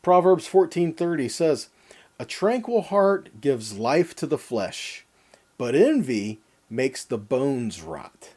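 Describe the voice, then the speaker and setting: A man's voice: mid-range voice, sing-song, slow pace, a little mellow. A man teaching a scripture lesson in a sermon style, reading verses with emphasis and putting rhetorical questions to his listeners. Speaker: Bible teacher giving a weekly Torah portion lesson.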